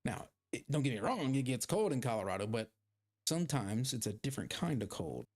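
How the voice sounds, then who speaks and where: A man with a gravelly, creaky edge to his mid-pitched voice chats through a gaming livestream. He rambles loosely, trails off, and pokes fun at himself while he plays. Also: big pitch swings, a little fast. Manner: rambling, self-deprecating, chatting with viewers while playing. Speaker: streamer. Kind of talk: casual gaming livestream chat.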